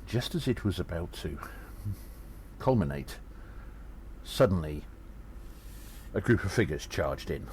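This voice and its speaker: A man playing a small, agile adventurer who recounts battles in an audio drama, earnest and dramatic, with halting pauses. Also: low voice, animated, a little mellow, slight background noise. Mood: gripped, suspenseful. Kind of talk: first-person audio drama narration.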